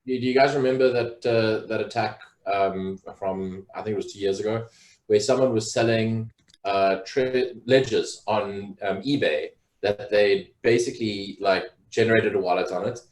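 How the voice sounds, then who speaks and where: A man's voice: on the low side, fairly even intonation, normal speed, darkish tone. A man co-hosting a casual live talk show, telling stories in a rambling way with many fillers. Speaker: podcast co-host. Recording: roomy echo.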